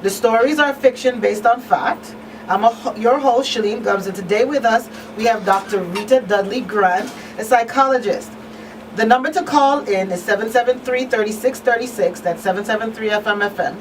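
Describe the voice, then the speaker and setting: A woman's voice: a little high, normal speed. A woman hosting a live call-in radio talk show. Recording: slightly distant, faint noise.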